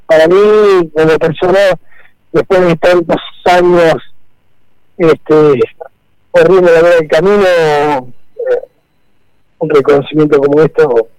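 A middle-aged Argentine man from Santa Fe, speaking in a casual radio interview, halting with many fillers and repeated words. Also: fairly high pitch, darkish tone, no echo, poor audio. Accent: Argentine Spanish. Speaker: rally co-driver guest.

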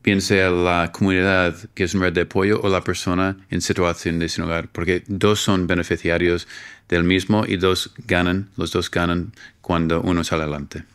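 A man's voice: sits low, little pitch movement, moderate pace, a bit bright, smooth. A middle-aged man from Minnesota with an American accent, speaking Spanish as a second language, earnestly answering interview questions. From United States, Minnesota. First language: English. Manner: earnest, searching for words in Spanish. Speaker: guest, homeless outreach worker. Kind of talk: interview conversation.

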